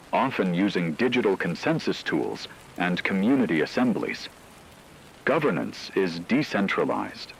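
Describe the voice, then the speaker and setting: A man's voice: deep voice, creaky, a little hiss or hum, poor audio. A man reading a scripted, dramatized radio news report as an anchor, formal and grave.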